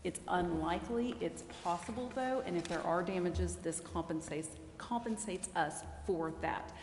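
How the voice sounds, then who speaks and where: A woman legal adviser explaining a matter to a public meeting in a measured way. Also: fairly low pitch, darkish tone. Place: council chamber. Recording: very echoey hall, faint noise.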